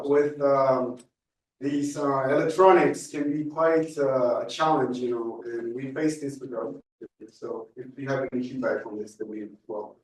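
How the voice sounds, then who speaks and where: A man's voice: fairly high pitch, slight background noise. A man in the audience asking a conference question, hesitant and full of fillers. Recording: echoey room, from far off.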